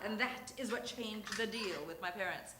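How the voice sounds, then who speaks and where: A woman reading a comic personal story live on stage, theatrically, with deliberate pauses. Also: medium pitch. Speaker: reader of a humorous memoir story. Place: theatre stage before an audience. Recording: roomy, noisy live stage recording.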